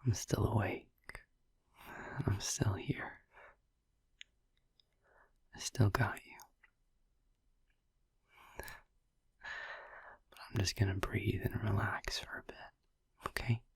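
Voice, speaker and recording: A man playing a tender, devoted vampire boyfriend in an ASMR sleep-aid roleplay, murmuring softly and gently in a breathy whisper. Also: fairly low pitch, level tone, slow, pauses often, no room sound, heavily acted. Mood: soothing, affectionate. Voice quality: soft, breathy, husky whisper. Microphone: close microphone, dry recording.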